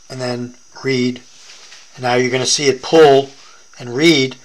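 A man's voice: mid-range voice, rough recording. An American man demonstrating a product in a casual hands-on tutorial, explaining informally step by step.

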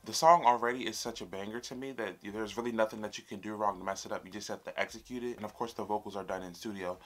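A man's voice: lowish voice, fairly even intonation, fast. A man in his twenties hosting a casual reaction video, chatty and sassy with his viewers. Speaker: YouTube reaction host. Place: small room indoors, fabric backdrop behind.

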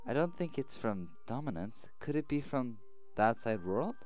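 A man doing a high-voiced, earnest, wondering girl from a visual novel, music softly underneath. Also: very animated, normal speed, natural voice. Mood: puzzled, curious. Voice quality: high-pitched put-on girlish voice. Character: girl, curious, earnest, sweet anime heroine. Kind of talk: visual novel character read-aloud.

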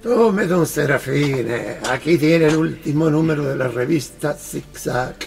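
An actor playing an effusive, friendly Italian neighbour with an exclamatory way of talking and an Italian accent, in a radio drama. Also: male voice, a little high, a little slow, gravelly, over music. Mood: friendly, concerned. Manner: exclamatory, mixes Italian into Spanish.

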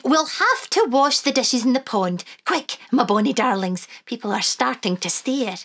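A cheerful old granny from a children's storybook, voiced by a woman reading aloud. She speaks with a lilt, bright and high, and swoops up and down as she calls the children her darlings. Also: animated, normal speed. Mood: cheery.

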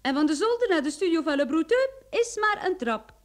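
A bold female private detective in a radio drama. Her voice is high and very animated, and she teases with playful self-assurance. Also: a little fast. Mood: confident. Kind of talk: Dutch radio play acting.

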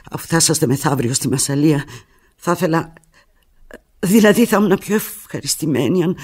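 An actress playing an anguished, lovelorn woman pleading with her departing lover in a halting, volatile radio theatre monologue. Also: deep voice, a little mellow, slightly rough. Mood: hesitant pleading.

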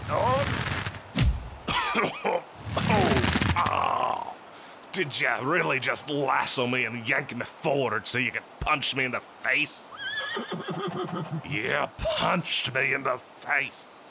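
A man's voice: mid-range voice, creaky, heavy background noise. A man playing a cocky, flirtatious pirate captain with a swaggering, laughing manner. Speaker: audio drama voice actor. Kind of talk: audio roleplay performance.

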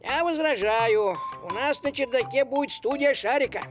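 A stubborn, practical cartoon tomcat, high and very animated. He grumbles indignantly, with music playing behind. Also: normal speed. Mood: grumbling. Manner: indignant, expressive.

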